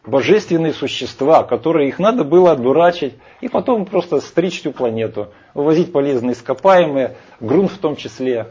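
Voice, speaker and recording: A man's voice: fairly high pitch, lively intonation, moderate pace, hardly any pauses, a little mellow, breathy edge. A man arguing emphatically in a heated discussion, rambling with hesitations. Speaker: speaker lecturing on Vedic scripture. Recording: dull lo-fi sound.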